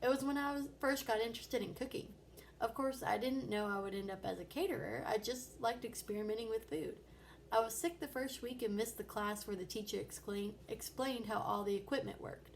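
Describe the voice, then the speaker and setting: A woman's voice: a little high, a little fast, gravelly, slight background noise. A woman voicing a kindly, reassuring father telling patient anecdotes in a children's storybook. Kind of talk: children's storybook read-aloud.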